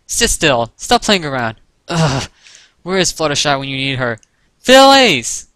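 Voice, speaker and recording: A man voicing a fussy female pony scolding unruly youngsters with exasperated shouting, in a storybook reading. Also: a little high, big pitch swings, measured pace, dry recording, full character voice.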